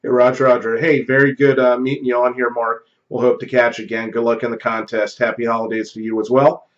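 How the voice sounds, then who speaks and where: A man's voice: medium pitch, level tone, fast, dull audio. A middle-aged American man chatting casually as an amateur radio operator, using call signs and phonetic spelling. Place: home office radio room. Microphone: close studio microphone on boom arm.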